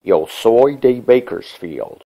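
Larry, a woman doing a deep-voiced schoolboy. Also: sing-song, drawn-out words, dark tone, heavily acted. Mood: friendly. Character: schoolboy student Larry, young and friendly.

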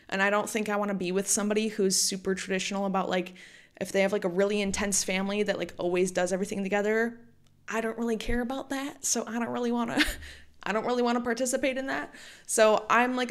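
A woman's voice: medium pitch, clean tone. Chatty young woman podcast host. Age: late 20s. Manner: chatty, frequent "like" fillers. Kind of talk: solo podcast monologue.

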